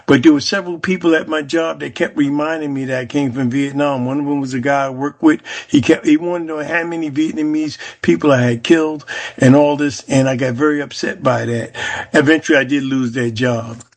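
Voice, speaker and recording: An American man in his seventies from New Jersey, recounting his life in an interview with a reflective, halting delivery and frequent pauses. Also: a little high, fairly even intonation. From Jersey City, New Jersey, United States. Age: 70s. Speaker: interviewee, Vietnam War veteran. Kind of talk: personal oral history interview.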